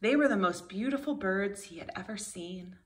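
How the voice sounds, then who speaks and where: A woman's voice: mid-range voice, animated, moderate pace. A woman in her thirties, a kindergarten teacher reading a storybook aloud expressively to young children.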